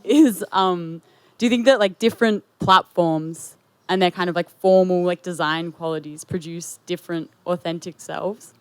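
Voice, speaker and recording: Audience questioner, smooth clear voice, casual and filler-heavy. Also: medium pitch, moderate pace, dry recording. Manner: casual, uses "like" a lot. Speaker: audience member.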